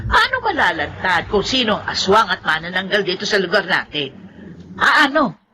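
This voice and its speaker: A woman's voice: medium pitch, sing-song, normal speed, noisy background, lightly acted. A woman with a Filipino accent playing an anxious, nagging wife and mother, insistent and exclamatory in a horror radio drama. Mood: puzzled, scolding.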